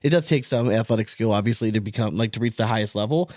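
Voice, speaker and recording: A man's voice: fairly low pitch, fast talker, darkish tone, dry recording, studio quality. A man hosting a casual sports podcast, conversational, often checking that listeners follow him. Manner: conversational, often adds "you know what I mean". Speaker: podcast host.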